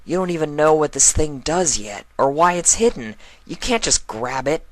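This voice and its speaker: Male reader as short-tempered pony archaeologist mare, sarcastic, exasperated, raised pitch, storybook character. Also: lively intonation, normal speed, no room sound, lightly acted. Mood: self-scolding. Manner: exasperated, muttering to herself, sarcastic.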